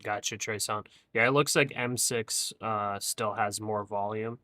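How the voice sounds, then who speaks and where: A young man hosting a live trading stream, chatting casually with viewers in a monotone voice and calling them bro and dude. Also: lowish voice, unhurried, no room sound, clean studio sound, soft background music. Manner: says his own voice is monotone. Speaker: livestream trading host.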